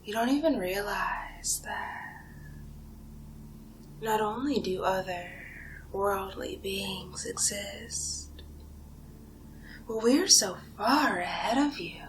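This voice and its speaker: Woman acting a smug vampire villainess, breathy asmr whisper, crawling hypnotic pace, clear noise behind her. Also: medium pitch, expressive, low-quality recording. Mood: smug, condescending. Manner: slow, hypnotic, lingering pauses and repetition. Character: condescending seductive female vampire feeding on human prey.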